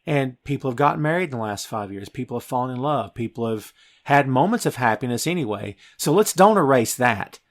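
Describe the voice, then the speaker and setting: A man's voice: mid-range voice, lively intonation, a little fast, few pauses. A middle-aged American man hosting a podcast, talking about film in a casual, emphatic, conversational way. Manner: emphatic, conversational, addresses listener as "dude". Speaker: podcast host.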